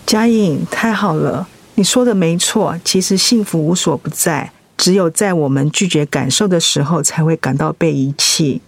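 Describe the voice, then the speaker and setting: Warm, supportive best friend. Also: female voice, low voice, moderate pace, few pauses, slightly rough, dry recording. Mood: comforting. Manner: expressive, encouraging. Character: warm, reassuring close female friend, cheerful and supportive. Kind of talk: radio drama acting.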